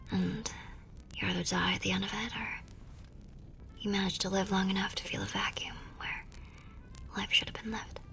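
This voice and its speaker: A woman playing a tender, teasing young war nurse and sweetheart in an intimate ASMR romance roleplay, murmuring softly and breathily. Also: medium pitch, fairly even intonation, normal speed, long pauses, a little hiss or hum. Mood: pensive. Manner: soft, breathy, affectionate murmuring.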